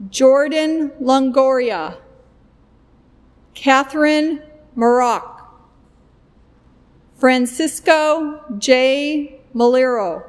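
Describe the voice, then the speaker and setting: A middle-aged woman at a podium microphone, reading graduates' names one by one in a slow, deliberate way. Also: high-pitched, big-room sound. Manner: deliberate, evenly paced name reading at a podium. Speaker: commencement name reader. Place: indoor stage at a podium, recorded for a virtual ceremony.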